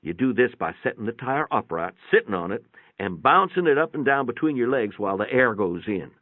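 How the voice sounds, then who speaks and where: A man's voice: mid-range voice, big pitch swings, a little fast, no echo, narrow, tinny audio. A man giving a folksy, plainspoken dramatic reading of a first-person story, with a radio-like sound. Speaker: narrator, reader. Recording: band-limited, radio-like sound.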